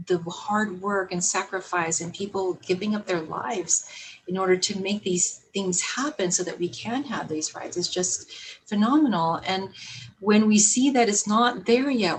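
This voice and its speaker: A woman, telling stories in a casual podcast interview, animated and laughing often. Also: medium pitch, a little hiss or hum, low-quality recording. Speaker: podcast guest, business owner.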